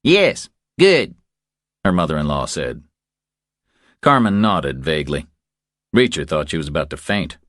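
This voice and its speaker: A man's voice: rumbling low, expressive, moderate pace, long pauses, a bit bright, dry recording, studio quality. A man reading scripted thriller fiction as an audiobook narrator.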